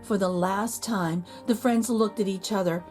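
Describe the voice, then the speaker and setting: A woman reading a children's picture book aloud in an expressive storytelling manner. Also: faint music behind. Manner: slow, expressive storytelling with lively pitch.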